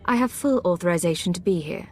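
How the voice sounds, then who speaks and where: A woman's voice: lowish voice, lively intonation, fast, darkish tone, a little hiss or hum, natural voice. A woman playing a cool, assertive Imperial intelligence agent in a video game. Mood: firm, authoritative.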